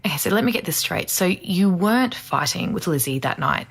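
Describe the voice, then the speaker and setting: A woman acting as a composed true-crime podcast host, measured, probing and sceptical, in an audio drama. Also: lowish voice, animated, vocal fry, slightly rough, exaggerated voice.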